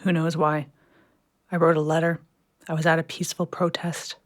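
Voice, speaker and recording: A woman plays an imprisoned teacher in an audio play, weary but defiant. Her voice is low and urgent, guarded, and recorded dry. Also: little pitch movement, moderate pace, dry recording, lightly acted. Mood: bitter, defiant.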